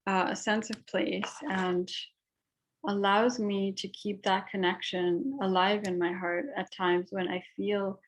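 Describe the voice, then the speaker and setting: A woman presents her art at a panel talk, her voice sitting in an ordinary middle register. She speaks in a reflective, personal way and often pauses on ums and uhs. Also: mid-range voice, flat delivery, a little hiss or hum, rough recording.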